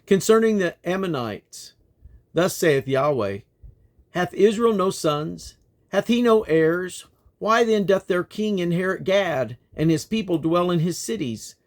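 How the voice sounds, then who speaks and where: A man reading scripture aloud in a solemn, declamatory manner, with measured phrasing. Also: sits high, animated. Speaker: Bible reader. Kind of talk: reading scripture aloud, King James text.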